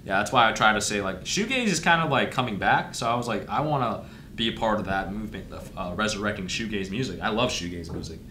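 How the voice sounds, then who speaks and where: A man's voice: lowish voice, quick pace, a little hiss or hum. A young man from Thailand, chatting animatedly about his band in a small studio. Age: young adult. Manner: animated, acts out quotes. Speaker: band frontman. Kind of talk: casual chat. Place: small studio room, indoors. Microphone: boom mic.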